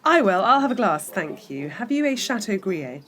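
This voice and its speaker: A woman's voice: mid-range voice, sing-song, moderate pace, fairly bright tone. An anxious, questioning middle-aged professional woman. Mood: brisk. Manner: emotional, questioning, easily worked up. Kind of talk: naturalistic audio drama acting.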